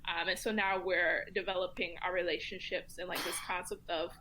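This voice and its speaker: A woman speaking as a guest commentator in a casual round-table discussion, thoughtful and measured, with frequent pauses to think. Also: fairly low pitch, little pitch movement, background noise.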